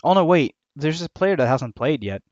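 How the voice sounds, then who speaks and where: A man's voice: fairly high pitch, animated, normal speed, darkish tone, no echo. A man casting a live game stream, thinking aloud, laughing often and trailing off as he works things out. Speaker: caster. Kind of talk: casual live-stream commentary. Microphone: headset or desk mic, not on camera. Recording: dull lo-fi sound.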